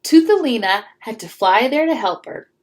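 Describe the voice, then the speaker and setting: A woman's voice: on the high side, expressive, moderate pace, close to an everyday voice. A woman voicing a courtly, apologetic male Cupid in a storybook letter, with a flowery, formal manner.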